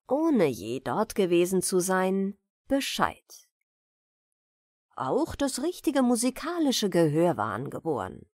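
A woman narrating a fairy tale as an audiobook storyteller, deliberate and expressive, with dramatic pauses. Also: mid-range voice, no room sound, clean studio sound. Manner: deliberate, dramatic pauses between short phrases.

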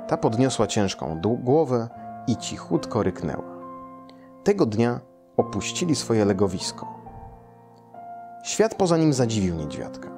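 A man, a storyteller reading a children's tale aloud in a room. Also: on the low side. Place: small furnished room, indoors.

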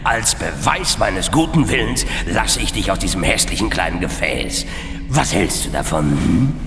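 An actor playing a cruel, gloating demon overlord in a horror audio drama, with a sneering, taunting manner. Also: male voice, low voice, sing-song, normal speed. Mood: gloating, mocking. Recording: echoey reverberant hall, loud music behind.

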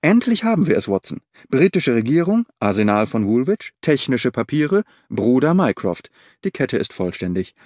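A man voicing a sharp, analytical detective who reasons briskly and incisively in a dry recording. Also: medium pitch, big pitch swings, no echo, close to an everyday voice. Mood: triumphant.

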